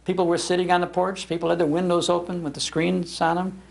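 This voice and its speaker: An American man telling anecdotes to a gathering as an animated raconteur. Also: high-pitched, quick pace, creaky. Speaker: speaker, radio historian. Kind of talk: anecdotal storytelling in a public talk. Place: public library talk.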